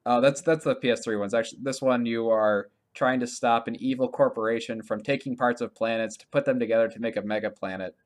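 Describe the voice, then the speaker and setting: Male podcast co-host, average pitch, loose conversational delivery, tentative and thinking aloud. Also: steady tone, fast talker, hardly any pauses. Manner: informal, hedging, thinking out loud. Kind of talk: casual podcast chat about games and films.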